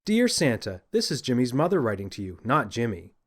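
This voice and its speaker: A man's voice: medium pitch, very animated, brisk. A man reading as a naughty boy who pretends to be his own boastful mother, earnest and over-insistent, in a children's audiobook. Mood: falsely earnest.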